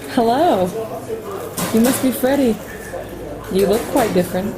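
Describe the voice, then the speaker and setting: A woman's voice: on the high side, unhurried, a crowd in the background, close to an everyday voice, low-quality recording. An actress playing a curious woman on a date, in a radio play. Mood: curious, wary.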